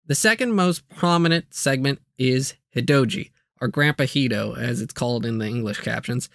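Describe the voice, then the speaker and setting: A man's voice: mid-range voice, normal speed, vocal fry, a bit bright, dry recording, studio quality. A man delivering a casual, comedic scripted video review, with jokey asides and playful exaggeration.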